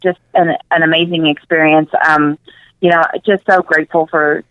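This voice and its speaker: A woman's voice: deep voice, little pitch movement, normal speed, no echo, narrow, tinny audio. A woman speaking as a guest in a casual radio interview, earnest and emphatic, repeating words for emphasis. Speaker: interview guest, nutrition coach.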